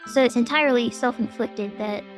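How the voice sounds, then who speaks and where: Gravelly-voiced woman rambling through Q&A. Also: fairly high pitch, normal speed, faint music behind. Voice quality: gravelly, strained. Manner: rambling with many fillers and restarts. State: throat getting sore. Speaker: VTuber. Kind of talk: casual Q&A vlog.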